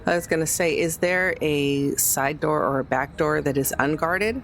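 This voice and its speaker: A woman's voice: sits low, normal speed, faint music behind. A woman talking casually as a player in a tabletop game.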